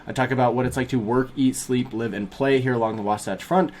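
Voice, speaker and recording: Young male vlogger, brisk and friendly, slightly congested, recorded in a small home studio. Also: mid-range voice, fairly even intonation, darkish tone, slight background noise. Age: young adult. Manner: upbeat, fast-talking, addresses viewers as guys. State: a bit sniffly from a cold. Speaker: real estate agent. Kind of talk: casual explainer vlog.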